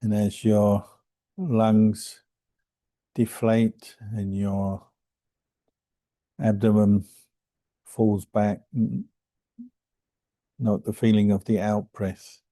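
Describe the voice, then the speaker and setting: A man guiding a meditation as a monk and teacher, speaking calmly and gently with unhurried pauses between phrases. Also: on the low side, no room sound. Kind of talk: guided meditation instruction.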